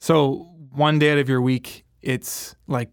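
A man's voice: medium pitch, level tone, slow, smooth, dry recording, studio quality. A man who hosts a podcast, talking in an upbeat, chatty way and laughing easily. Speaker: podcast host. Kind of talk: casual interview. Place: radio studio.

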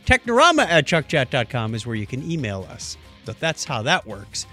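A man co-hosting a podcast in casual chat, animated and joking, given to exclamations. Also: medium pitch, normal speed, faint music behind. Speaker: podcast co-host.

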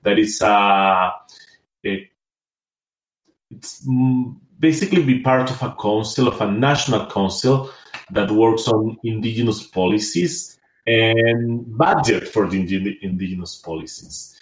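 Low-voiced man in an echoey room. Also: measured pace. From Rapa Nui. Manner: hesitant, thoughtful, many fillers and restarts. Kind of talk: conversational interview.

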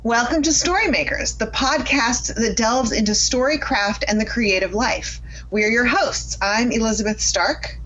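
A woman's voice: fairly high pitch, moderate pace, fairly bright tone, muffled recording. A woman hosting a podcast, speaking in a presentational introduction style. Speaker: podcast host.

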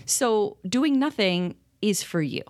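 A woman co-hosting a parenting podcast, talking in a thoughtful, rambling way with many pauses and ums. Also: mid-range voice, animated. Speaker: podcast co-host. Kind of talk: casual chat.

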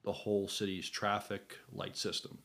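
A man's voice: fairly low pitch, level tone, moderate pace, creaky, fairly bright tone. A man co-hosting a podcast, talking casually and thinking aloud, often hesitating and repeating words. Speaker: podcast co-host. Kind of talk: casual fan discussion.

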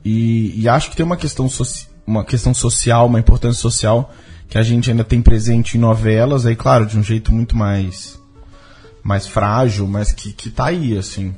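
A Brazilian man being interviewed as a film director, speaking casually and reflectively, often halting to search for his words. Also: mid-range voice, fairly even intonation, darkish tone, breathy edge, soft background music.

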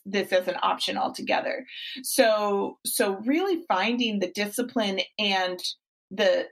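A woman's voice: medium pitch, gravelly, rough recording. A woman in her forties hosting a podcast as a fitness coach, talking in a casual, encouraging way with frequent restarts. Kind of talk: casual interview chat.

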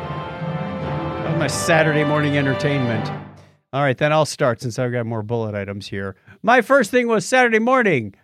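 A man's voice: a little high, sing-song, moderate pace, fairly bright tone, soft background music. An American man co-hosting a podcast, chatting casually and explaining things in an anecdotal, conversational way. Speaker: podcast host.

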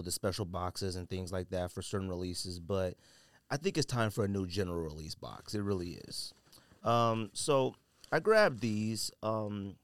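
Casting: American male podcast host, lowish voice, informal slangy solo chat, dry close sound. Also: smooth, no room sound. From California, United States. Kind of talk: casual solo podcast chat about sneakers.

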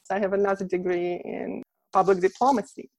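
A woman's voice: medium pitch, steady tone, brisk, a little mellow, no echo, rough recording. A woman from Russia with a Russian accent, a photographer being interviewed, chatting casually and laughing often between phrases. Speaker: guest, boudoir photographer.

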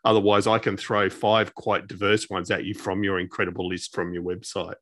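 A man's voice: low-pitched, level tone, a little fast, fairly bright tone, no echo, clean studio sound. A man co-hosting a casual interview, enthusiastic, with long rambling questions. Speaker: podcast co-host, interviewer.

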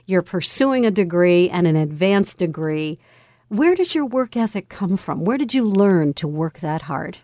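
A woman's voice: on the low side, expressive, measured pace, a little mellow, dry recording. A woman hosting an interview, asking friendly, encouraging questions. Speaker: interview host.

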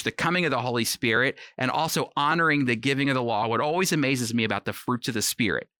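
A man's voice: medium pitch, bright, forceful tone, no room sound, clean studio sound. A man giving conversational, scripted Bible teaching in an earnest, explanatory manner. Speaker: Bible teacher on a radio program.

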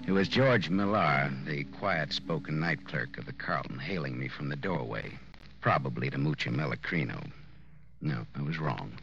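A man's voice: extremely low pitch, moderate pace, creaky. A man playing a hard-boiled, wisecracking private detective who narrates his story in 1940s radio noir style. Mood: wry, moody. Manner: sardonic, slangy, wisecracking. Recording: dull old-time radio recording, loud music.

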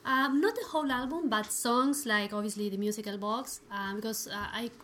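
A woman's voice: on the high side, fairly quick, fairly bright tone. Hesitant woman from Argentina, faint noise. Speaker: panelist. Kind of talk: casual chat.

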